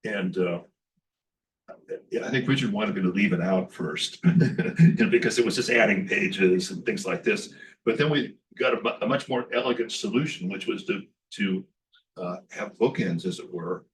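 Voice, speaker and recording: A poet from Los Angeles speaking at a book event, halting and repeating words as he starts each thought. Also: fairly low pitch, distant mic, noisy background. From Los Angeles, California. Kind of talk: book event talk and reading.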